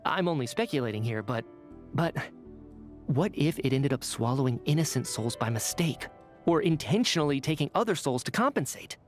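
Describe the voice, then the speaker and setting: Man acting a calm, analytical young game detective. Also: mid-range voice, faint music behind, lightly acted. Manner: deliberate, reasoning. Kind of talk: scripted game dialogue.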